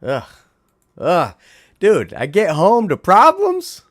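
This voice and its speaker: A young man with a raspy voice, hosting a casual late-night livestream from home and chatting playfully with viewers. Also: high voice, slow pace, no room sound, clean studio sound. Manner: animated, playful, talks to chat viewers. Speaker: livestream host.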